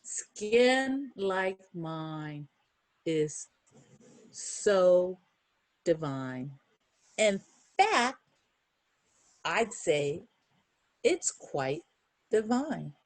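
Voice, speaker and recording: A woman reads a children's picture book aloud in a lively, swooping voice. She takes her time and breaks off for encouraging asides and questions to young listeners. The sound is close and dry. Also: fairly low pitch, extremely slow, pauses often, no room sound. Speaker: children's storybook reader.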